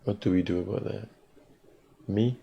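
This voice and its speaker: A Guyanese man in his forties with a low voice hosts a morning call-in show. He muses slowly on his own, then talks loud and fast when he argues with a caller. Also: fairly even intonation, vocal fry, dull audio, slight background noise. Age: 40s. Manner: slow and reflective alone, loud and rapid when arguing. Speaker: radio call-in host.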